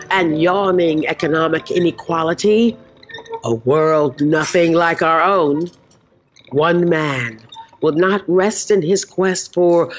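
A low-voiced trailer narrator takes her time over every phrase. She is grand and mock-serious, reading scripted comedy radio drama over faint music. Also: poor audio.

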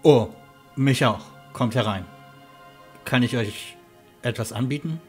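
A man's voice: mid-range voice, takes it slowly, darkish tone, breathy edge, soft background music. A man playing a hospitable, slightly flustered villager in a fantasy audio drama, hesitant and apologetic. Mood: welcoming.

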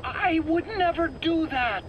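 An actor playing a nervous, evasive male scientist under questioning in a video game, stammering, defensive and pleading in a halting, self-pitying way. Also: extremely high pitch, dull audio, a little hiss or hum. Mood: panicked denial. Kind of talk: dramatic video game voice acting.

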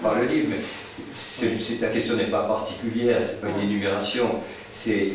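A man with a low voice puts a long question at a book event. He is hesitant, polite and wordy, circling toward his point. He sounds distant in a very echoey room. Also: steady tone, moderate pace. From France, Paris area. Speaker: audience questioner, social scientist. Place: indoor meeting room at a public book event. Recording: very echoey room, distant mic, faint noise.

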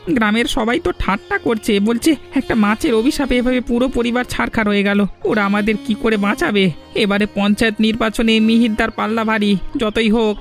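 A man playing a humble, loyal, anxious village servant in a cartoon, deferential, with a Bengali accent. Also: high-pitched.

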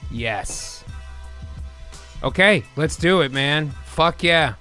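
Male host, thirties, excitable, sweary, unhurried overall, slightly high voice, narrates scripts with drama. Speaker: host of an improv movie-writing show. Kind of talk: casual banter and dramatic read-aloud narration of scripts. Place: home room, video call. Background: music.

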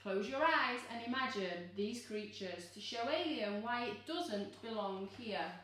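A woman in her thirties reading a picture book aloud to children in an expressive, exclaiming, coaxing teacher's manner. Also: on the high side, unhurried. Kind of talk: animated children's storybook read-aloud. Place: living room, seated on a sofa. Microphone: camera a few metres away. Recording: echoey room, distant microphone.

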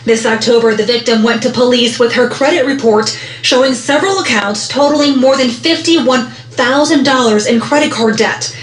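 A woman TV news reporter delivering a live report outdoors at night into a handheld mic, in a measured news delivery. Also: fairly high pitch, echoey room. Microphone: handheld microphone.

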